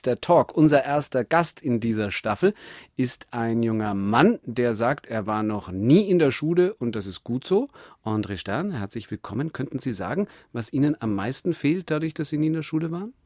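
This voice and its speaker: A man's voice: mid-range voice, animated, moderate pace, a little mellow, no room sound, studio quality. A man hosting a radio talk show, asking probing and playfully teasing questions. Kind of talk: radio interview. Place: radio studio.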